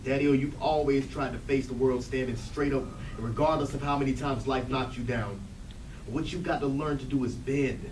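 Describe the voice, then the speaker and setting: A man performs a resolute, wounded grown son in a theatre reading. His mid-pitched voice is earnest and emphatic. He sounds distant in an echoey room. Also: steady tone, moderate pace, darkish tone, lightly acted. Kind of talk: stage readers theatre acting. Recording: echoey room, distant mic, background noise.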